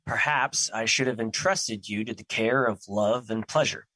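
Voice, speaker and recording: A man plays a stern, earnest personified emotion in an audio drama. The voice is bright and forceful, recorded dry and close. The phrasing is formal and stiff, with irritation never far off. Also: lowish voice, normal speed, no room sound.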